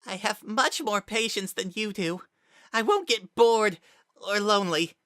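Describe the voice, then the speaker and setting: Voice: medium pitch, unhurried, fairly bright tone, no echo, studio quality, pitched above the performer's own voice, lightly acted. A performer voicing a bitter, mocking, anguished lost child spirit in a strained, breaking voice, as in a dramatic storybook reading. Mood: bitter taunting. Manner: taunting and wounded.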